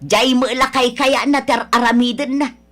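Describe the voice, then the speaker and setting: A woman's voice: sits high, fairly even intonation, unhurried, a bit bright. A woman playing a nagging, disapproving older mother of a grown son in an Ilocano radio drama, scolding and complaining. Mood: grumbling.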